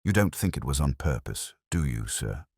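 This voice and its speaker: A man voicing an earnest, slightly slow police sergeant who sounds eager and a little flustered. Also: extremely low pitch, big pitch swings, a little mellow, husky, dry recording, studio quality, full character voice.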